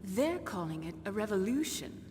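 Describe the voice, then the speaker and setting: A woman's voice: medium pitch, sing-song, moderate pace, a little mellow, soft background music, natural voice. Woman acting a composed scientist in echoing hall. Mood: matter-of-fact. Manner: precise, explanatory. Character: composed, knowledgeable female military scientist. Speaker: gene therapy scientist advising on a mission. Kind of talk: dramatic video game voice acting. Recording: echoing reverberant hall, noisy game audio.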